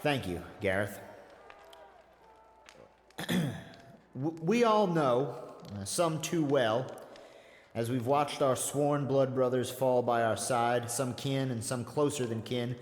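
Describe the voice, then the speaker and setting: An actor playing an honorable, big-hearted army general in a fantasy audio drama, giving halting, sincere oratory to troops. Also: male voice, mid-range voice, lightly acted. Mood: sincere, rousing. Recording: roomy, long echo.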